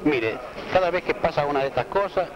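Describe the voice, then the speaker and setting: A man's voice: a little high, expressive, fairly quick, muffled recording, background noise. An older retired man with an Argentine accent giving plain answers in an archive street interview. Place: outdoor pavement among people. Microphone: handheld microphone. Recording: 1970 archive film, crowded street.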